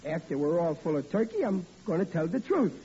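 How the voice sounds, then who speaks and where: A man's voice: on the high side, expressive, fairly quick, mellow, slight background noise, natural voice. An actor playing a bumbling, bluffing father who stammers through his excuses, in 1940s radio acting style, on an old lo-fi recording. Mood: blustering. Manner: stammering, bluffing comic bluster. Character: blustering bumbling father Riley.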